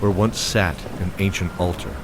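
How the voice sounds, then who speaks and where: A man narrating a fantasy audio drama in a measured, scripted way. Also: sits low, steady tone, noisy background. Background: dramatic music score.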